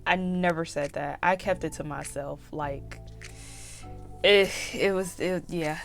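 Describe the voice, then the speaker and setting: A young woman in her twenties talks straight to camera in a loose, confessional vlog. Her voice sits a bit low for a woman and has a slight allergy rasp. She talks candidly and loops back on herself with fillers. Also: fairly even intonation, slow, soft background music. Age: 20s. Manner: chatty, candid, frequent fillers and restarts. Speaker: YouTube vlogger. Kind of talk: casual confessional vlog chat.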